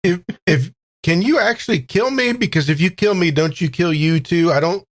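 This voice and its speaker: A man's voice: high voice, moderate pace. A man playing a tabletop game, joking in character.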